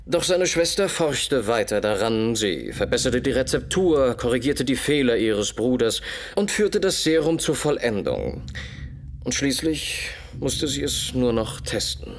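An actor playing a brilliant, grave Victorian detective in a German radio play, explaining a case in a deliberate, measured way. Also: male voice, medium pitch, sing-song, gravelly, slight background noise. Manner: deliberate, measured, expository, with dramatic repetitions.

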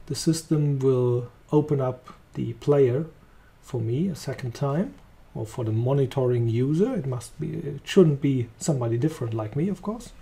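A man with a German accent walking through a software demo, talking casually as he clicks along. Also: mid-range voice, gravelly. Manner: relaxed, thinking aloud while clicking. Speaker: software trainer. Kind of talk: screen-recorded software demo.

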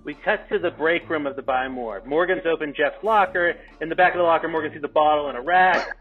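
A man's voice: a little high, fast talker. A man reading out stage directions as narrator at a remote script table read. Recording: dull call audio, music behind.

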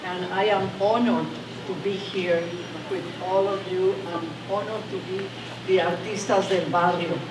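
An older woman with a Mexican Spanish accent speaks in simple, earnest English. Her voice sits at a middle pitch. She is heard from a distance in a gallery with echo and noise. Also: fairly even intonation, unhurried. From Chiapas, Mexico. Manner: simple, earnest phrasing in non-native English. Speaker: exhibiting artist. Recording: echoey gallery from a distance, noisy.

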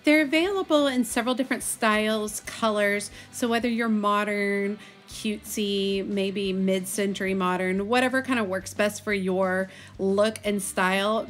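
A woman in her thirties with a Texan accent, narrating a casual vlog in a chatty, enthusiastic, folksy way. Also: mid-range voice. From Texas, United States. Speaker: host of a gardening video channel.

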